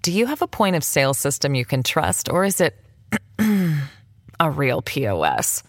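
A woman's voice: sits low, sing-song, a little slow, dry recording, clean studio sound. A woman reading a scripted podcast ad with a playful touch. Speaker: ad read. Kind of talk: scripted ad read.

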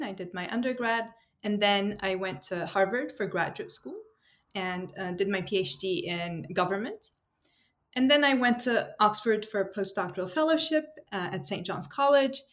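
A woman in her thirties from Turkey, a political science professor answering interview questions in a thoughtful, halting way, with frequent ums and restarts. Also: mid-range voice.

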